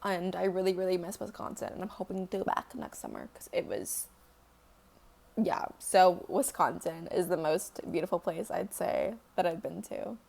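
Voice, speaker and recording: A woman's voice: animated, moderate pace, creaky, darkish tone. Rambling young woman in casual chat. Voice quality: deeper singing voice. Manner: rambling, filler-heavy, swears casually. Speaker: singer answering fan questions.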